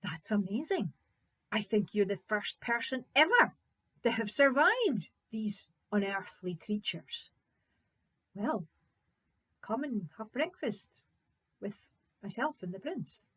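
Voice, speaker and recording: A woman's voice: medium pitch, sing-song, slow pace, long pauses, a bit bright, breathy edge, exaggerated voice. Woman as an earnest, kindly storybook manservant. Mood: amazed. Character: kindly, astonished male castle servant in folk tale.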